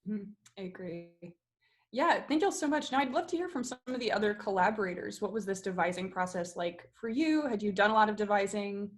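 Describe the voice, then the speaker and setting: A woman leads a relaxed group discussion on a video call, putting questions to her collaborators. Her voice sits at an ordinary middle pitch and she speaks informally. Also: brisk. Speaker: discussion moderator and theatre collaborator. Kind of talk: casual post-show group discussion.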